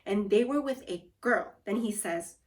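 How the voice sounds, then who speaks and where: A woman's voice: mid-range voice, moderate pace, lightly acted. A woman voicing an exasperated, bewildered storybook father, recounting things in a rambling, insistent way. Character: exasperated, bewildered father demanding an explanation from sons.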